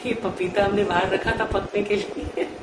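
An older woman filming on a phone and narrating casually, mixing in English and laughing warmly as she talks. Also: medium pitch, steady tone, normal speed. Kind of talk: casual chat. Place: large home by a garden window. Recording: echoey room with background noise.

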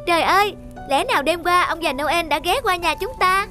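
A woman's voice: extremely high pitch, normal speed, pushed and bright, faint music behind. A woman voicing a sweet, modest young boy in a cartoon drama, with an earnest, childlike delivery. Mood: amazed.

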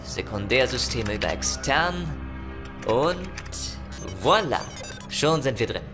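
Man as ship's hacker, sci-fi radio play: medium pitch, casual, self-assured, over music. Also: expressive, takes it slowly. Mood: confident concentration. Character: easygoing male ship's computer technician and hacker. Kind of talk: audio drama acting in a sci-fi thriller.

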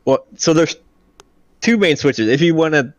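A man's voice: on the high side, lively intonation, normal speed, no echo, lo-fi sound. A man who works as a Marine aircraft mechanic answers technical questions in a plain-spoken, casual way over a video call.

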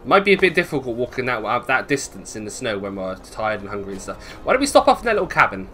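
A man's voice: mid-range voice, big pitch swings, fast, bright, forceful tone, slight background noise. A man giving casual, chatty gameplay commentary, thinking aloud playfully and swearing freely. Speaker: gaming video host.

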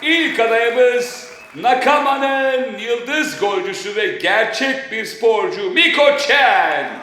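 A man's voice: very high-pitched, expressive, takes it slowly, bright, forceful tone, full character voice. A man voicing a formal, showy award ceremony announcer in a cartoon dub. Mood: grand. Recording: very echoey hall, crowd, faint noise.

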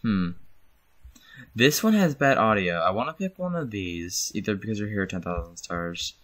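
A man's voice: lowish voice, animated, moderate pace, fairly bright tone. A young man casually commenting on his own channel's videos while screen-recording, rambling and correcting himself. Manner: rambling, self-correcting, chuckles. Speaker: channel host.

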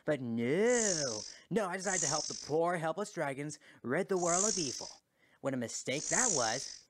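A man's voice: medium pitch, noisy background. A man voicing a small, brash, indignant male dragonfly sidekick with a whiny, boastful rant, in an amateur video game fandub.

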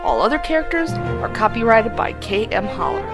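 A woman's voice: a little high, very animated, moderate pace. A woman announcer reading a scripted introduction to a radio drama. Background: loud music.

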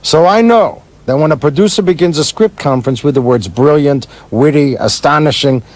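A man's voice: on the high side, moderate pace, close to an everyday voice. A man playing a cynical, wisecracking older screenwriter in a radio play, with a dry, sardonic manner. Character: wisecracking, cynical older screenwriter who likes his drink.

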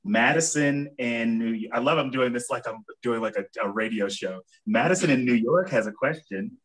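A man's voice: medium pitch, moderate pace, fairly bright tone, poor audio. A young man hosting a virtual Q&A from home, casually reading out audience questions. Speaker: moderator. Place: home room with plants. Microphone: video call.

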